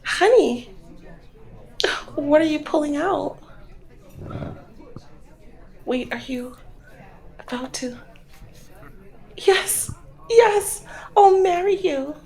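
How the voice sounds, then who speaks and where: A woman's voice: sits high, animated, drawn-out words, long pauses, warm tone, exaggerated voice. A woman playing a flirty, commanding female mob boss in an ASMR roleplay, talking in a teasing, coy and controlled way. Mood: gushing, excited. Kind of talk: ASMR roleplay, scripted one-sided dialogue.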